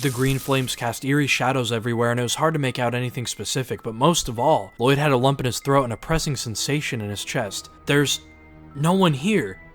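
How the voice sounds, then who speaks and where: A man's voice: medium pitch, a little hiss or hum. A man reading scripted, dramatized story narration as the narrator. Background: music.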